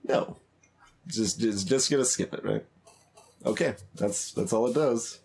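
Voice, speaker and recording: A man's voice: fairly low pitch, unhurried, creaky, a little mellow, husky. A man giving casual video game commentary as a streamer, hesitant and thinking aloud as he plays. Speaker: gaming streamer.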